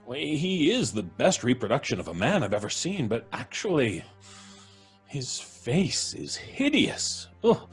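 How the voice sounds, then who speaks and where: An actor playing a genteel, moralising older professor in old-time radio horror style, husky, fussy and stammering. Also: male voice, medium pitch, big pitch swings, measured pace, soft background music, heavily acted. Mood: dubious.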